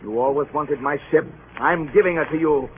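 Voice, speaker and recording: An actor playing a stern, resolute sea captain with a clipped, dryly ironic, commanding manner, in old-time radio drama style. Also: male voice, on the high side, normal speed, lightly acted. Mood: cold, resolute. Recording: tinny band-limited old radio recording, faint noise.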